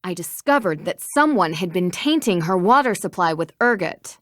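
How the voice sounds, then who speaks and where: A woman's voice: fairly low pitch, animated, moderate pace, dry recording, clean studio sound, natural voice. An actress playing a determined young female detective in a video game, speaking in an earnest, assertive, articulate way. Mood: assertive, accusing. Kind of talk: scripted video game voice acting.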